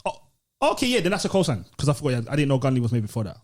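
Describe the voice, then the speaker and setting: A London man talks at a rapid clip as a podcast co-host. His pitch is middling for a man and his delivery is casual, slangy and conversational. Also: big pitch swings, a little mellow. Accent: British, London.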